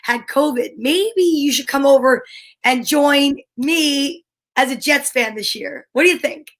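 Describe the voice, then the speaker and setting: A woman's voice: sits high, unhurried. A woman co-hosting a radio show in casual chat, enthusiastic and teasing.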